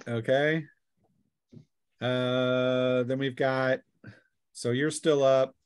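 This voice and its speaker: A man's voice: medium pitch, level tone, drawn-out words, smooth, no echo, studio quality. Male game master, mid-range voice, informal table talk, narrating combat and rulings to players. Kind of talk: running a tabletop role-playing game.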